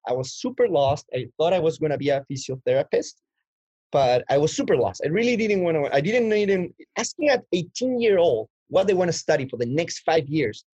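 A man's voice: a little high, lively intonation, brisk, dark tone, husky, no room sound. Young man from Chile, dull dry recording. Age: 20s. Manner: rambling, many restarts and fillers. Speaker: guest. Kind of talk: casual interview storytelling.

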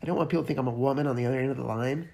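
A man co-hosting a relaxed podcast with his wife. His voice sits fairly high for a man. He is self-deprecating and joking, and often tells stories about his own slip-ups. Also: racing, vocal fry. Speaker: podcast co-host. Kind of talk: casual chat.

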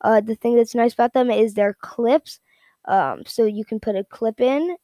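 A woman giving a casual, unscripted product rundown in a halting, repetitive, informal way. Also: on the high side, dark tone, no room sound, clean studio sound. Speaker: product reviewer.

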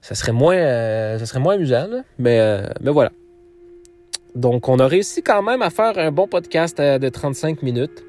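A man's voice: medium pitch, animated, normal speed, darkish tone. A man from Quebec with a Quebec French accent, hosting his podcast and talking casually, with many fillers and restarts. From Quebec, Canada. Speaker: podcast host. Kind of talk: casual solo podcast outro.

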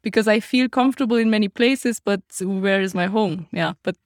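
A woman's voice: medium pitch, normal speed, dry recording, studio quality. A young woman with a Romanian accent, a guest chatting casually on a podcast and laughing often. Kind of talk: casual chat.

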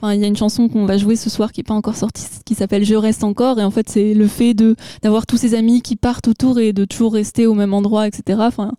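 Young woman guest, chatty and laughing, dark mellow tone, dry close sound. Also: medium pitch, flat delivery, fast talker, few pauses, no echo. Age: young adult. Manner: chatty, laughs often, fills with euh. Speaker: singer-songwriter guest. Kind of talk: casual interview chat. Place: festival, indoors. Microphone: microphone.

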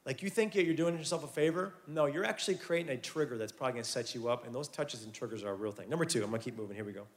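A man in his thirties, a youth pastor, giving a lively, conversational sermon to young people with quick asides. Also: medium pitch. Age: 30s. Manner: animated, conversational preaching with asides.

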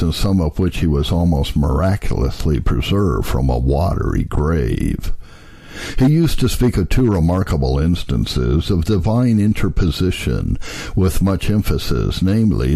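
A man with a very low, mellow voice reads an old historical text as a narrator. His delivery is formal, solemn and measured, yet the pitch moves expressively through each sentence. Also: vocal fry, breathy edge. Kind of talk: scripted narration, reading old obituaries aloud.

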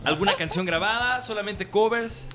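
A man's voice: high voice, normal speed, bright, forceful tone. A man with a Mexican accent hosting a casual radio interview, informal and repeating phrases for emphasis. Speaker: radio show host.

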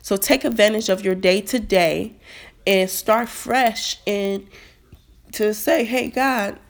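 A woman's voice: mid-range voice, creaky. A woman hosting a devotional podcast, opening with a prayer and speaking earnestly and encouragingly, a little stuffy-nosed. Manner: slow, earnest, encouraging, with restarts. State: stuffy nose. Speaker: podcast host.

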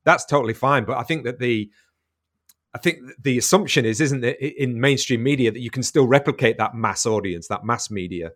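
A man's voice: mid-range voice, fairly quick, fairly bright tone. A man interviewing a guest, thinking aloud in a hesitant way with many restarts. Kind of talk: conversational interview.

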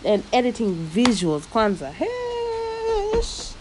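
A young woman hosting her own podcast, talking casually and lively and dropping Swahili slang into her speech. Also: a little high, takes it slowly, background noise. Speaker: podcast host. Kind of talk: casual solo podcast monologue. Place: living in the United States.

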